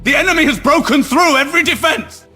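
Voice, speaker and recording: Panicked, shrill governor. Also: male voice, fast talker. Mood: terrified, desperate. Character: panicked, desperate male planetary governor. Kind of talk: dramatic video game voice acting. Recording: music behind.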